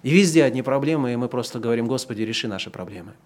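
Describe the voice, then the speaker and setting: Male pastor, 30s, sermon delivery: slow emphatic build-ups, then easy conversational asides. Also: medium pitch, animated. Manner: swings from slow, loud emphatic preaching to quieter conversational asides.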